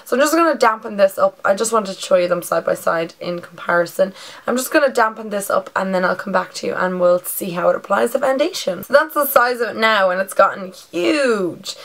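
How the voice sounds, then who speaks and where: Hoarse Irish woman chatting to camera. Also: mid-range voice. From Ireland. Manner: chatty and rambling, fillers like "like" and "um". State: hoarse with a sore throat. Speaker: beauty vlogger. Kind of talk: casual product review to camera. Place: bedroom indoors.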